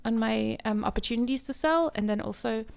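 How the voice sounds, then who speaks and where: Creaky-voiced young Norwegian woman chatting. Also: fairly high pitch, brisk, a little mellow. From Norway. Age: young adult. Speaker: podcast guest, visual artist. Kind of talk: casual interview conversation.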